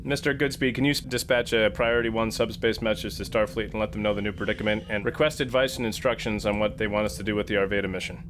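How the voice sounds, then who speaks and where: A man's voice: lowish voice, steady tone, quick pace, hardly any pauses, a bit bright, a little hiss or hum. A man talking casually as a player in a tabletop roleplaying game.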